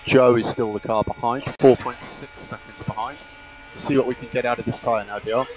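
Male race engineer, team radio effect, muffled lo-fi sound, clipped measured delivery, repeated instructions. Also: medium pitch, steady tone, background noise. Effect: team radio transmission.

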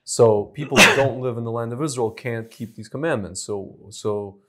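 A young man, a wine importer guest, calmly and patiently explaining in a casual studio chat on a lapel mic. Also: medium pitch, moderate pace, very noisy. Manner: calm, explains patiently with small restarts. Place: studio set with vineyard backdrop.

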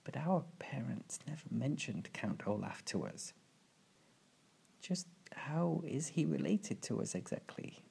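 A man's voice: medium pitch, very animated, unhurried, creaky, darkish tone, slightly rough, voice pushed up, full character voice. A man voicing a thoughtful, doubtful orphan boy in a storybook, puzzled and precise.

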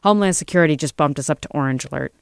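A man's voice: a little high, rapid-fire, creaky, clean tone, dry recording, studio quality. A man voicing a stern older male police officer, firm and official, in a thriller story.

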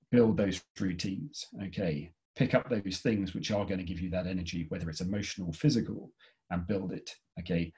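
A man in his forties gives advice over a video call from a study at home. His voice sits low and his delivery is calm and measured. He often pauses to check in with his listeners. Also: creaky. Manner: calm, measured, frequent "okay?" check-ins. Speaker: webinar speaker. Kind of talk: webinar talk giving tips. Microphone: video call webcam.

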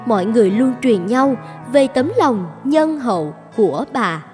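A woman narrates a cartoon tale in a fairly high voice. Her scripted storybook delivery rings with long hall reverb, with music playing underneath. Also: animated, a little slow, darkish tone, breathy edge.

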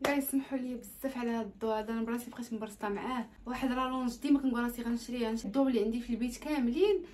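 Young female lifestyle vlogger, Moroccan accent, highish voice, very quick informal chat, filmed in a bedroom. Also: fairly even intonation, darkish tone. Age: 20s. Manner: informal, chatty, mixes in French loanwords. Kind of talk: casual chatty vlog talk to camera. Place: indoors in a bedroom at home. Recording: home recording with clear background noise.

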